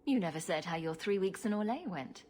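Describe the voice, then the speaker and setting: A woman's voice: fairly low pitch, animated, fairly quick, a little mellow, close to an everyday voice. An actress playing a sarcastic female rogue champion in a video game, with a dry, teasing manner. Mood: wry. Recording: faint background noise.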